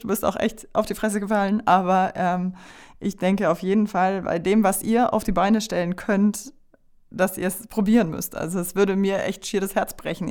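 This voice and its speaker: A woman's voice: mid-range voice, darkish tone. A woman speaking as a podcast host in a casual, conversational interview style.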